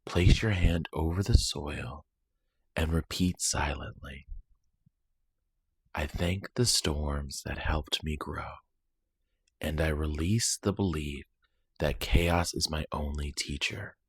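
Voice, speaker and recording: A man with an extremely deep, husky voice leads a guided meditation. He speaks in a soothing, deliberate way, leaving long pauses between short phrases. The recording is dry and close, with no room sound. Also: no echo.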